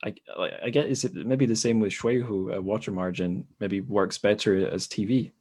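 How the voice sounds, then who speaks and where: A man with a fairly low voice hosts a relaxed podcast discussion. He talks tentatively, breaking off and restarting as he shapes his thought. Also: moderate pace, husky. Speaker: podcast host. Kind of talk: casual discussion.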